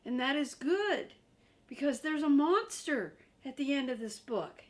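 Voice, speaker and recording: A woman's voice: high-pitched, animated, measured pace, pitched above the performer's own voice. A woman voicing a lovable, frightened furry monster from a storybook, pleading emphatically and theatrically. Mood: scared, pleading.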